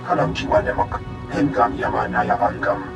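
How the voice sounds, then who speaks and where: A man voicing an alien intelligence analyst in a video game, guttural and gravelly, speaking an invented tongue. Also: mid-range voice, fairly even intonation, moderate pace, reverberant, over music. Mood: matter-of-fact. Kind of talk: video game character acting.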